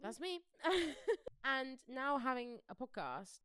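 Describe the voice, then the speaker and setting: A woman's voice: sits high, sing-song, takes it slowly, sharp tone, smooth, no echo. Young british woman chatting casually on a podcast, dry close sound, says like a lot. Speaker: podcast co-host. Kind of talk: casual chat about pop culture.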